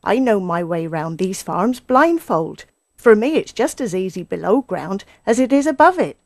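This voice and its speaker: A woman's voice: medium pitch, lively intonation, moderate pace, no room sound, lightly acted. A woman voicing a sly, confident male fox in a children's story, suave and assured. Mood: smug.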